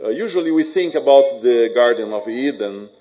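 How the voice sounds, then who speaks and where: A man from Brazil with a Brazilian Portuguese accent, preaching a sermon in English with halting restarts, repeated words and joking asides. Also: mid-range voice, expressive, warm tone. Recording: dull, muffled sound.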